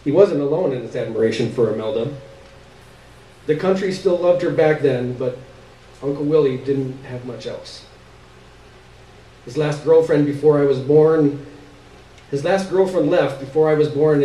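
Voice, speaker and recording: A man's voice: a little high, moderate pace, mellow. Man reading a story in an echoey room. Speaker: reader of a short story. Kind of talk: live dramatic reading of first-person literary fiction. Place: live stage reading in a roomy space. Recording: echoey room, distant mic, background noise.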